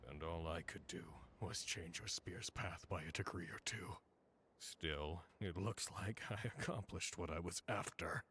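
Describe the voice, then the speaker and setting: An actor playing a sarcastic, taunting heroic archer in an animated parody, with a theatrical, mocking manner. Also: male voice, low voice, a little slow, a little hiss or hum. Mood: smug, measured. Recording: music behind the voice.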